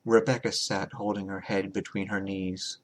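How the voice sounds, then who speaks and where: A man reading his own horror short story as a scripted, dramatic narration, deliberate and measured, with pauses. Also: sits low, flat delivery.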